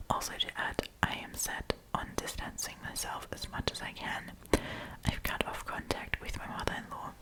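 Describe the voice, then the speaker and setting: A woman hosting an ASMR storytime, reading online stories aloud in a soft, breathy whisper. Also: poor audio. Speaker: host of an ASMR storytime channel.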